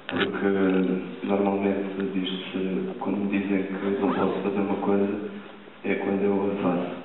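Young man, deep flat voice, far off. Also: background noise. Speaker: actor and performer. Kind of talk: talk to a theatre audience. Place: large theatre stage. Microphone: far camera, room sound. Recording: distant, echoey, tinny hall recording.

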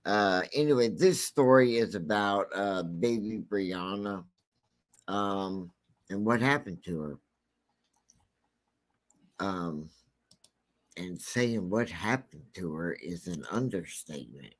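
A man with a deep, scratchy voice hosting a true crime channel, talking casually and rambling with many fillers. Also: lively intonation, takes it slowly, long pauses.

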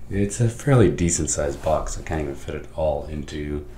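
Hoarse thirties man, very deep, excited rambling host, roomy room with faint hiss. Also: normal speed. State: lost voice, hoarse. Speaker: stationery vlogger. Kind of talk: casual show-and-tell. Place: home study with lamps and bookshelves.

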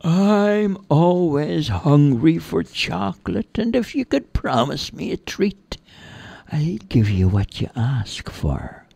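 A storyteller plays a tiny storybook fairy, grumpy and greedy for treats. The voice is high and whiny, dragging slowly and reluctantly through its bargaining. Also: very animated, gravelly, a little mellow, husky, dry recording, exaggerated voice. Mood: pleading. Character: small, grumpy, chocolate-greedy captured fairy.

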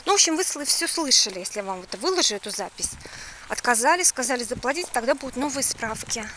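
A woman's voice: on the high side, animated, quick pace. A middle-aged woman vlogging to her phone camera from inside a car, talking in an agitated, repetitive, complaining way. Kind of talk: casual vlog to camera. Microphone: phone camera held close. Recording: noisy phone recording.

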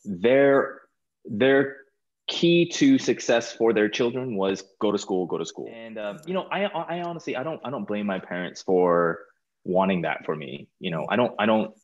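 Low-pitched American man, muffled call. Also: animated. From California, United States. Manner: informal, halting, repeats words. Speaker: guest, stage performer. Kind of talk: casual interview chat. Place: small plain room indoors, video call.

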